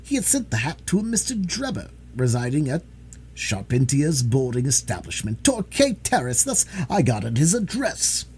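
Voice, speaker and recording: A man voicing a pompous, boastful, excitable police detective in a storybook reading. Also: medium pitch, normal speed, breathy edge, pitched above the performer's own voice, heavily acted. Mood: smug.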